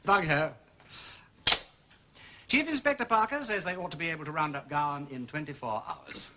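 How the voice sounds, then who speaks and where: An actor playing a jaunty, quick-witted gentleman sleuth with an upper-class English accent and breezy, theatrical phrasing in a period detective drama. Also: on the high side, animated, heavy background noise, natural voice. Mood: cheerfully playful.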